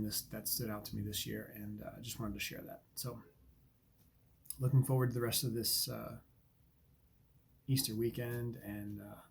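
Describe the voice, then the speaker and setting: A man's voice: fairly low pitch, gravelly, a little mellow, a little hiss or hum. A man hosting a daily Bible reading, earnest and reflective, sniffling from allergies. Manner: earnest, reflective, with many ums and uhs. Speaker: Bible reading podcast host. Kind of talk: devotional commentary and scripture reading.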